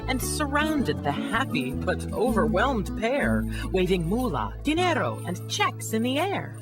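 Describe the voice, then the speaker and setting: Woman, storyteller, rhyming children's tale, animated intonation, slow pace, guitar music behind. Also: fairly high pitch. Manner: expressive.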